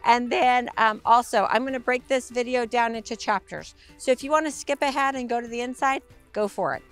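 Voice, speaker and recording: Hoarse, raspy-voiced woman giving an upbeat tour. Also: a little fast, a bit bright, soft background music. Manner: bubbly, upbeat sales pitch. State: hoarse from a week of talking. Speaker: RV sales presenter. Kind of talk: enthusiastic walkaround tour.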